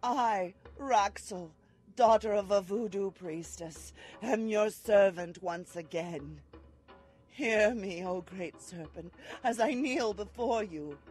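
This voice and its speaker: An ominous voodoo priestess's daughter played by a woman intones a formal incantation. She is very slow, solemn and submissive, as in a gothic audio drama, with faint music behind her. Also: mid-range voice, darkish tone, slightly rough. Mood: solemn, reverent.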